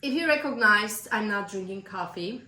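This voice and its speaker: A woman from Turkey with a Turkish accent, a business coach giving earnest, emphatic motivational advice straight to the viewer, slightly hoarse from a cold. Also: medium pitch, expressive, normal speed, pushed and bright, echoey room. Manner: earnest, emphatic, direct address.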